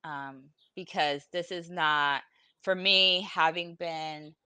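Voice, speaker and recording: A woman's voice: deep voice, fairly even intonation, takes it slowly, pushed and bright, clean tone, no echo. A woman in her forties from Puerto Rico, speaking candidly and bluntly on a video-call panel, sounding worn out. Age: 40s. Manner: blunt, informal, salty. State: weary, emotionally exhausted. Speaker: podcast guest. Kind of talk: candid personal panel talk. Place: room at home, on a video call.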